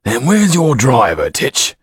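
A man's voice: mid-range voice, huge pitch swings, slow pace, breathy edge, no room sound, clean studio sound, voice pushed up, heavily acted. A man voicing a young street urchin in an audiobook, polite and coaxing.

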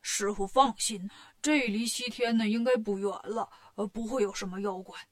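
A woman voicing a simple, good-natured, lazy male pig disciple in a blunt, drawn-out manner for a story. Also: medium pitch, voice pushed down, lightly acted. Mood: reassuring.

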